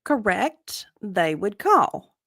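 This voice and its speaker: A woman's voice: medium pitch, very animated, very slow, a bit bright, dry recording, studio quality, heavily acted. A woman voicing an evasive, matter-of-fact victim advocate giving clipped, hedging answers in a comedic deposition reading.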